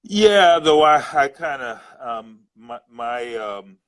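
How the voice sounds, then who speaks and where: An older man from New City, a guest in a casual interview, speaking haltingly with many hesitations. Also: mid-range voice, expressive, a bit bright. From New York City.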